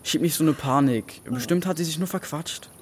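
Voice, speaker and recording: A male actor playing a calm, dismissive teenage son in a radio play. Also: on the high side, normal speed, a little hiss or hum, natural voice. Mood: dismissive, soothing.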